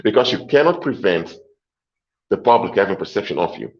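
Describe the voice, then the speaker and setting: A man hosting a livestream, lecturing deliberately and emphatically, repeating his points and closing them with short clinchers. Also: fairly low pitch, big pitch swings, lo-fi sound. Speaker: livestream host. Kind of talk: lecturing monologue to a live audience.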